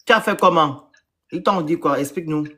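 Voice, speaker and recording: A man's voice: fairly high pitch, fairly quick, sharp tone. A man casually hosting a live call-in panel. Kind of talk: casual moderating of a live discussion.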